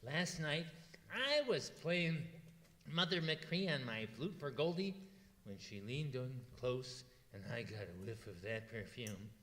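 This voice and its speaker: A man's voice: medium pitch, very animated, unhurried, fairly bright tone. A man playing a mild, goofy, lovesick suitor in an old-time radio comedy, with a hesitant, corny delivery. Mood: earnest, goofy. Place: live performance in a museum hall. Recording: reverberant hall, live stage.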